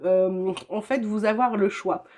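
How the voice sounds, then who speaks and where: An older woman with a head cold gives her weekly tarot guidance from home. She talks in a chatty, reassuring way and often pauses with little hesitations. Her voice sits at an ordinary middle pitch for a woman. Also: steady tone, a little mellow. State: has a cold. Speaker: tarot reader.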